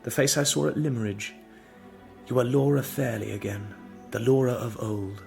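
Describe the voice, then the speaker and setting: An actor playing an earnest, determined young drawing master in an audio drama, speaking in a measured, formal, husky way. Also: male voice, medium pitch, fairly even intonation, darkish tone, faint music behind, lightly acted. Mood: tender. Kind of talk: audio drama acting and narration.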